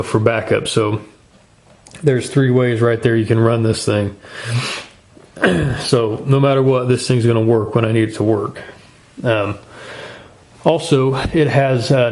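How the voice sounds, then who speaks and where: A man's voice: medium pitch, darkish tone, a little hiss or hum. A man hosting a casual product review in an informal, folksy, plain-spoken way. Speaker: gear review channel host.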